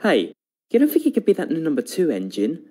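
A man voicing a friendly, easygoing steam engine companion in an animated game, casual and a little hesitant. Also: a little high, a little fast, breathy edge, full character voice. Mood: friendly, puzzled. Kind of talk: fan animated game cutscene acting.

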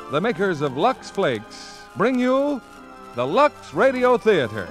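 Male vintage radio announcer, formal and proclaiming, animated high voice with strong music bed. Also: poor audio. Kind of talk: 1940s radio announcing, scripted. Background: prominent music.

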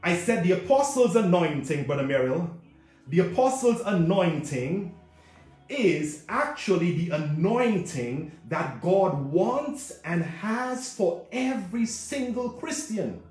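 A man's voice: high-pitched, very animated, takes it slowly, darkish tone. A man preaching a fervent sermon, emphatic and repetitive, addressing his listeners directly. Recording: very echoey room, music behind.

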